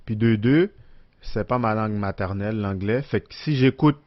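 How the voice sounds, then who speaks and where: A man's voice: on the low side, normal speed, lo-fi sound. A man with a Québécois French accent, co-hosting a casual podcast, joking and talking back with slang. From Quebec. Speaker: podcast co-host. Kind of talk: casual chat.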